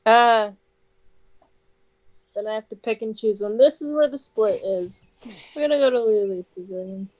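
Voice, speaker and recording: A woman's voice: a little high, moderate pace, pauses often, a little mellow, dull audio. A woman streamer casually commenting on a game as she plays, often as if talking to herself.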